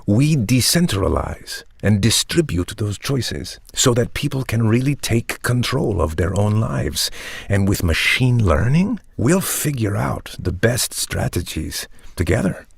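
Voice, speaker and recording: A charismatic vampire tech mogul in an audio comedy drama. His voice is lowish and husky, recorded very dry. He pitches his grand vision in a measured, pompous, persuasive way. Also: sing-song, dry recording, heavily acted. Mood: visionary, persuasive. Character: charismatic, ambitious vampire tech entrepreneur, smooth visionary salesman. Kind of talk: dramatic monologue, polished pitch.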